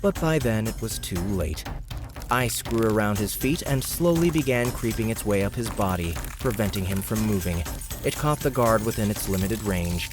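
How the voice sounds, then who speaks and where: A man's voice: fairly low pitch, lively intonation, moderate pace, music in the background. A man narrating an audiobook. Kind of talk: scripted narration.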